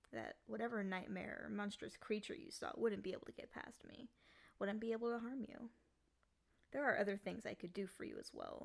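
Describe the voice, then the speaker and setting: A woman playing a lonely, obsessively devoted demon in an intimate ASMR roleplay, speaking softly and often slipping into whispers. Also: medium pitch. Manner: soft, doting, often dropping into breathy whispers. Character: lonely, obsessively devoted female yandere demon, once sealed.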